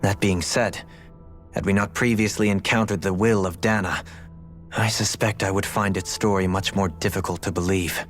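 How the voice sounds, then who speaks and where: A man playing a composed, scholarly nobleman companion in a video game, speaking in a measured, eloquent, formal way. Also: low-pitched, steady tone, faint music behind, lightly acted. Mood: thoughtful. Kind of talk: video game cutscene acting.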